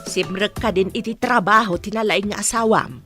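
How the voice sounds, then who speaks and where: A woman's voice: medium pitch, moderate pace, over music, natural voice. A woman playing an indignant, disapproving middle-aged Filipino mother in a radio serial, scolding and exasperated. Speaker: radio drama actor.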